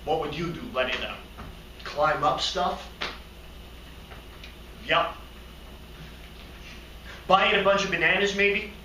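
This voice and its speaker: A man playing an odd, naively earnest hotel receptionist who rambles and daydreams in a halting, excitable comic stage monologue. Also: a little high, full character voice. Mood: wistful, musing. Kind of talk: theatrical comic monologue performance. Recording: roomy, distant mic, faint noise.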